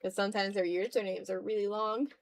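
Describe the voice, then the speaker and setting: A tired woman chatting casually and rambling in a low-key way as she hosts a live art stream and draws. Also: fairly even intonation, fairly quick, vocal fry. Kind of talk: casual livestream chat while drawing.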